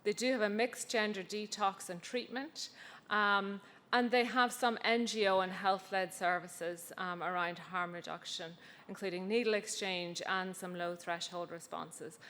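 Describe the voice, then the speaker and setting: A woman presents her research at a conference, her talk relaxed and conversational with plenty of ums and self-deprecating asides. Her voice sits at a middling pitch and carries a long reverb from the hall around her. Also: a little slow.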